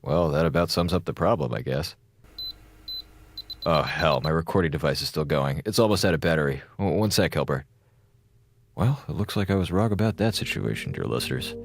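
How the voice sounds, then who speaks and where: A man's voice: extremely low pitch, lively intonation, brisk, creaky, exaggerated voice. An actor playing a wry, jittery male space station officer in a radio drama, rambling informally and stammering. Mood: sheepish, wry.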